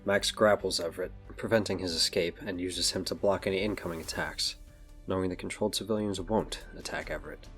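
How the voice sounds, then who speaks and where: A man's voice: low-pitched, steady tone, a little fast, a bit bright, soft background music. A man narrating scripted action scenes for a superhero audio drama.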